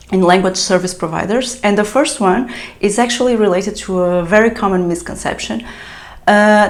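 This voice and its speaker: A woman whose native language is European Portuguese, a translator explaining tips in a casual vlog, with frequent fillers and restarts. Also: medium pitch. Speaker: translator giving business advice. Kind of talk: solo talking-to-camera vlog.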